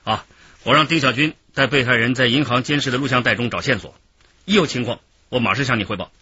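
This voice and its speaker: A man playing an earnest police officer in a radio play, speaking fast in a bright, forceful voice. The recording is a little dull. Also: medium pitch, lightly acted. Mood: urgent, efficient. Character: brisk, earnest male police detective reporting. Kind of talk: radio drama acting. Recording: dull radio drama track, faint noise.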